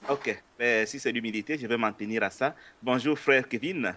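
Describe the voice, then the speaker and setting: A man's voice: mid-range voice, normal speed, a bit bright, a little hiss or hum. A man hosting an interview, asking questions in a polite, hesitant way with frequent filler sounds. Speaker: interview host. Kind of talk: conversational religious interview.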